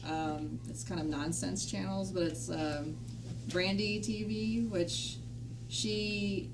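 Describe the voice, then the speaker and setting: A woman of middle pitch talks informally and hesitantly, often qualifying her words. She sounds off-mic, with clear noise around her. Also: fairly even intonation, slow pace. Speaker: panel guest. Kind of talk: casual unscripted chat. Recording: distant mic, noisy room.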